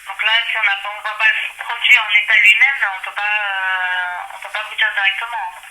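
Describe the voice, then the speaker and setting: Fast-talking, bright woman on customer service. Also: medium pitch, flat delivery. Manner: polite, repeatedly deflecting to procedure. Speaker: store customer service agent. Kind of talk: customer service phone call. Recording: echoey noisy phone line.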